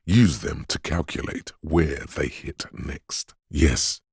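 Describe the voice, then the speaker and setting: Profoundly deep, gravelly analytical inner voice. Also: male voice, no echo, clean studio sound, exaggerated voice. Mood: measured, analytical. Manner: deliberate, precise, portentous, theatrical. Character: analytical inner voice of a detective's mind, grandly precise. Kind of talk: dramatic video game voice acting, measured narration.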